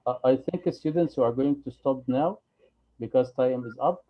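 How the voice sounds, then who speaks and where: A man teaches English in a calm, mid-pitched voice. He drills short phrases slowly and patiently so students can repeat them. The recording sounds dull and lo-fi. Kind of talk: online English lesson.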